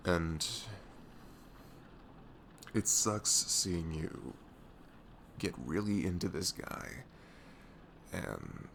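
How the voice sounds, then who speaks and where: Man acting a deep, slow-spoken, lovestruck best friend. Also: vocal fry, slight background noise, heavily acted. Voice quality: soft, velvety. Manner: hesitant, halting, earnest. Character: devoted young male best friend, goofy, nervously lovestruck. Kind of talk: ASMR audio roleplay.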